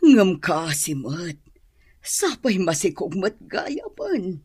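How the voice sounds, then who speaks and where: An actress playing a worried middle-aged mother in a radio drama, husky and pleading. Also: lowish voice, very animated, slow pace, a little mellow, no echo, studio quality, full character voice.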